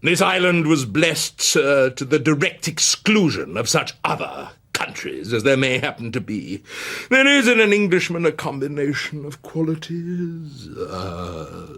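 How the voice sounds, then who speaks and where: A man playing a pompous, self-satisfied Victorian English gentleman in a theatrical reading, with grand, drawn-out oratory. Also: fairly high pitch, big pitch swings, heavily acted.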